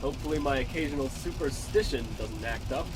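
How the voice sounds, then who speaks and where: A man's voice: medium pitch, fairly quick, close to an everyday voice. An actor playing a wary, uneasy traveling businessman in a parody of old radio drama. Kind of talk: parody old-time radio play acting. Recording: loud car and outdoor noise.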